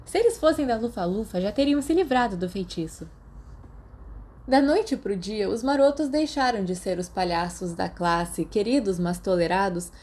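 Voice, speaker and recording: A woman's voice: mid-range voice, dark tone, a little hiss or hum. A woman with a Brazilian accent reading a story as a scripted narration. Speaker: audiobook narrator.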